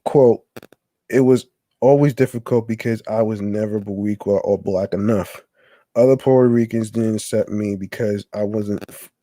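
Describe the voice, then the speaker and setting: A man hosting a podcast, reading a text aloud haltingly, stumbling and restarting on words. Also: fairly low pitch, warm tone, no echo. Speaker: podcast host.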